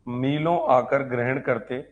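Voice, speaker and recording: A man's voice: medium pitch, steady tone, measured pace, highs cut off. A man teaching an exam-prep history lecture, explaining step by step, repeating phrases as if dictating and asking check questions.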